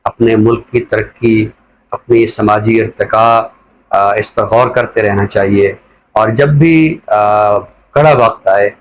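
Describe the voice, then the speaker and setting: Measured middle-aged Pakistani man, lecturing. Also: on the low side, slight background noise, poor audio. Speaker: literary commentator and teacher. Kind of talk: reflective talk show commentary.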